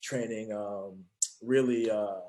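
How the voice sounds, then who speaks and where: A man's voice: fairly low pitch, fairly even intonation, takes it slowly, dark tone. A young man from Fresno, California, now a fitness trainer, telling his story earnestly as a podcast guest. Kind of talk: casual interview.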